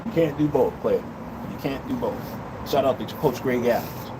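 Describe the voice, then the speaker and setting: A man's voice: medium pitch, moderate pace. Blunt man in his fifties, noisy balcony. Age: 50s. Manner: blunt, preachy, addresses viewers directly. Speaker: livestream host. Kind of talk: blunt opinion livestream monologue. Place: outdoors on a city balcony. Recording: loud outdoor street noise. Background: city traffic and outdoor noise.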